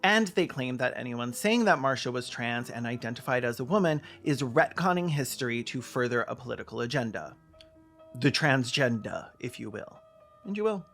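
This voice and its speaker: A man's voice: medium pitch, expressive, normal speed, fairly bright tone, soft background music. A man narrating a scripted, argumentative video essay with emphatic, pointed delivery.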